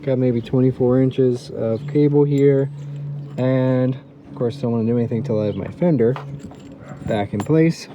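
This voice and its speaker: A man's voice: mid-range voice, a little slow, highs cut off, background noise. A man giving a casual hands-on tutorial, thinking aloud informally as he works as a DIY repair host.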